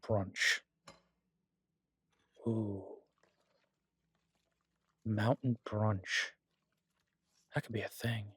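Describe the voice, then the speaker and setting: A devoted husband and cook , acted by a man, speaks in a lowish, slightly husky voice, close and dry on the mic. He is easygoing and gently teasing. His delivery is casual, halting and reassuring, as in a one-sided audio roleplay for a loved one. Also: little pitch movement, no echo, full character voice.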